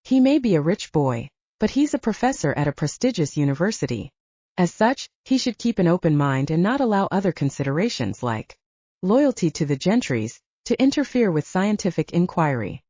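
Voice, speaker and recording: A woman's voice: on the low side, very animated, moderate pace, dry recording. Woman reading as a kindly, earnest old grandfather. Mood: matter-of-fact. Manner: earnest, gentle, coaxing. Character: kindly, stubborn elderly grandfather, a Bigfoot enthusiast. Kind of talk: audiobook character dialogue. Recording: dull, slightly lo-fi, dry recording.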